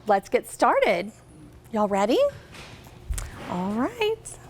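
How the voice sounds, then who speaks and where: A high-voiced American woman reads a picture book aloud to children. Her intonation is very lively, and she often turns to her young listeners with questions. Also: slight background noise. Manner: expressive, pausing to ask listeners questions. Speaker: guest reader, company representative.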